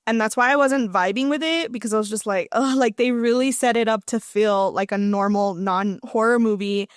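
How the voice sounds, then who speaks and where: Higher-voiced woman in chatty podcast talk. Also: moderate pace, smooth, no room sound, studio quality. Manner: informal, chatty, slangy. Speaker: podcast co-host. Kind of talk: casual film review chat.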